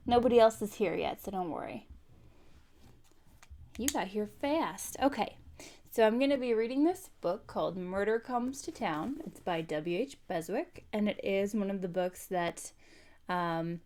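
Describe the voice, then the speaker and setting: A woman with a medium-pitched voice hosts an informal read-aloud stream. She speaks conversationally, in the manner of someone sharing a book with a small online group. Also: darkish tone, a little hiss or hum. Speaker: host reading a book aloud on a live stream. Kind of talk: informal livestream chat and audiobook-style narration.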